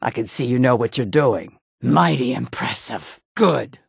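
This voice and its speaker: A woman voicing a gruff, proud female orc warrior in a video game, blunt and menacing, with a gravelly, husky voice. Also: very deep voice, animated, unhurried, dry recording, clean studio sound, heavily acted. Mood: blunt, curt. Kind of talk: video game character voice lines.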